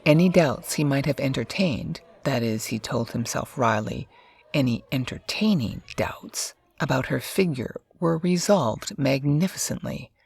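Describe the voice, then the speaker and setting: A woman's voice: rumbling low, lively intonation, unhurried, creaky, darkish tone, breathy edge. A woman reading a scripted story aloud as its narrator.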